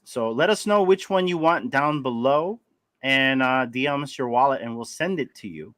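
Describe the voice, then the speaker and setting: A man with a raspy voice co-hosting a casual livestream, running giveaways in an upbeat way. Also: mid-range voice, expressive, moderate pace, a bit bright, no room sound. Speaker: livestream co-host.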